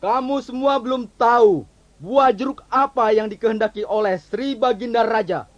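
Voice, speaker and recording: A man's voice: very high-pitched, normal speed, lo-fi sound. A man playing a bossy, impatient royal herald in an audio fairy tale, with an officious, scolding way of talking.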